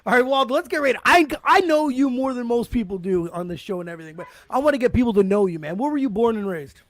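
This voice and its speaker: Male podcast host, high-pitched and rapid, loose and profane. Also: animated, dry recording. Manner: fast, rambling, swears freely. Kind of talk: casual interview chat. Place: podcast studio.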